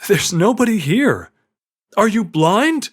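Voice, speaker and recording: Man putting on a high-pitched, frantic young woman. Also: big pitch swings, slow, slightly rough, heavily acted. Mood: alarmed. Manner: urgent, exclamatory. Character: alarmed, excitable young woman in a fantasy tale.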